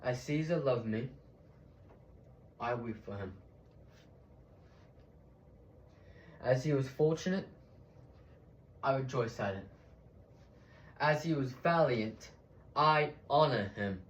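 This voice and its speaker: A man playing a solemn Roman senator in a theatrical Shakespearean monologue, declaiming to a crowd with dramatic pauses and swells. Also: mid-range voice, expressive, highs cut off, voice pushed up. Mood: solemn, grieving. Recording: echoey room.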